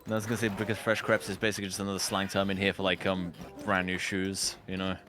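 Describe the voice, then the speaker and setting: A man's voice: deep voice, completely flat delivery, fast. A British man streaming a video game, chatting loosely, rambling and swearing freely. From Britain. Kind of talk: casual gaming chat. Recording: game music playing behind.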